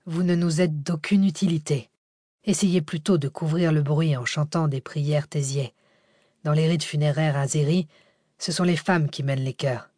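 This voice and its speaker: Curt, commanding man woman narrator performing, hushed and husky, desperate escape story. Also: fairly high pitch, steady tone, moderate pace, dry recording, clean studio sound, natural voice.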